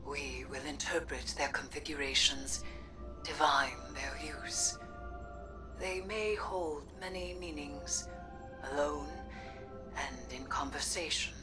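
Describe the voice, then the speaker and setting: A woman playing a solemn, cryptic witch of dark magic in a video game, speaking gravely and mysteriously. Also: low voice, takes it slowly. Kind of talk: scripted video game monologue. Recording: distant voice under clear music.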